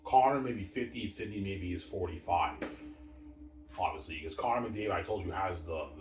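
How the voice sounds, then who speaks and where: A man's voice: sits low, normal speed, over music. A man rambling casually, repeating himself in a boastful way, with emphatic bursts that trail off. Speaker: vlogger. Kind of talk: rambling casual hockey talk. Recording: roomy, distant mic, faint noise.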